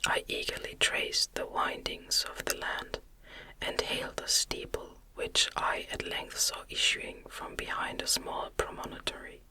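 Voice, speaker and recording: Asmr narrator reading an old novel, all whisper, soothing, bright and airy. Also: low-quality recording. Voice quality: soft unvoiced whisper. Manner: slow, soothing cadence.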